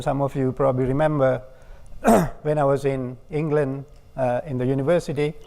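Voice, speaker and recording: A man from Sri Lanka giving a public lecture as a guest speaker, talking in measured, halting phrases. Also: a little high, a little mellow.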